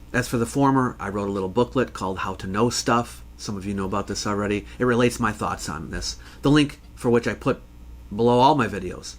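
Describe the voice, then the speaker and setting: Man explaining in a measured, conversational way. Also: mid-range voice. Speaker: host, history educator. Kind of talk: explanatory talk answering a listener question.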